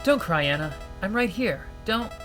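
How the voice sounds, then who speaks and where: A man voicing a perceptive, caring young male second mate who speaks earnestly and gently. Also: high voice, animated, normal speed, soft background music. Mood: soothing.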